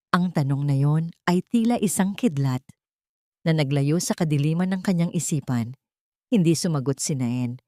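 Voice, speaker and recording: A woman's voice: low-pitched, a little mellow, no echo, studio quality. A woman reading a scripted love story as a narrator, with a solemn, dramatic delivery.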